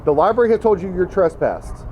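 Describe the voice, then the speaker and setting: A fast-talking American man with a slightly high voice presses his argument. He is insistent and repetitive, recorded outdoors with faint street noise. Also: animated, lo-fi sound. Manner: insistent, repeating his points. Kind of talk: unscripted argument.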